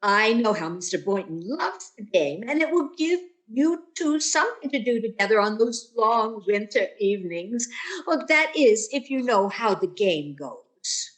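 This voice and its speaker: A woman's voice: on the high side, very animated, a little slow. A woman playing a kindly, motherly older lady in an old-time radio sitcom style.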